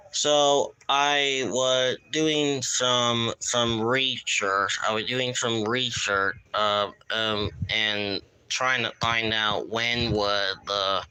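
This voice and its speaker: Slow, halting man with a bright voice. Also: lowish voice, smooth, low-quality recording. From United States. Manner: halting, repeats phrases. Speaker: YouTuber showing a weather radio collection. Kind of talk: casual live-stream show-and-tell.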